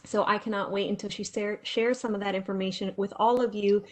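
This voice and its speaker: A woman's voice: medium pitch, fairly even intonation, brisk, darkish tone. A woman hosting a webinar over a video call, introducing a guest in an upbeat, enthusiastic way. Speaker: webinar host.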